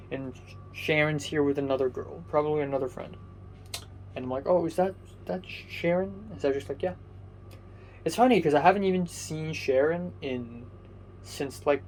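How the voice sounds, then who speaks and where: A man's voice: on the high side, darkish tone, slight background noise. A young man from Hong Kong whose first language is Cantonese, casually telling a rambling story with many fillers, restarts and casual swearing. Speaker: vlogger.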